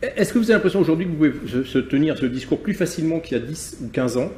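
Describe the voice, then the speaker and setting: Brief: fast, mellow-voiced male interviewer, echoey room. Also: fairly high pitch, expressive, slight background noise. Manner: brisk, rephrases questions as he goes. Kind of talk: conversational interview questions.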